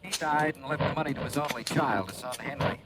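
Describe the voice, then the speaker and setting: An actor playing a harried, folksy American insurance manager in a 1950s radio drama, talking in a conversational way. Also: male voice, fairly high pitch, quick pace, close to an everyday voice. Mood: exasperated. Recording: noisy, clicking vintage radio recording.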